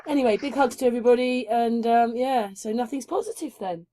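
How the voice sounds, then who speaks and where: A woman's voice: a little high, a little fast, warm tone. A woman hosting a casual group chat, chatty and asking rhetorical questions. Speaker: chat host.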